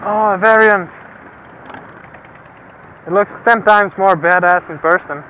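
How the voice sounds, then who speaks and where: A man's voice: high-pitched, unhurried, pushed and bright, low-quality recording. Laid-back young man on a motorcycle helmet cam. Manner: laid-back, laughing, swears casually. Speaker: motovlogger. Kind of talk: casual helmet-cam commentary. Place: on a motorcycle outdoors on the road. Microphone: helmet-mounted mic. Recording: faint road and engine noise.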